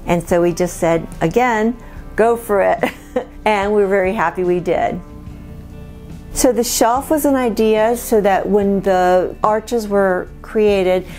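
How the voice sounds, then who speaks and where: Homeowner, female, middle-aged, native Spanish speaker from Cuba; casual house-tour delivery, mid pitch, music underneath. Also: a little mellow. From born in Cuba. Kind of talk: casual guided home tour. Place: small wood-panelled house interior. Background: background music.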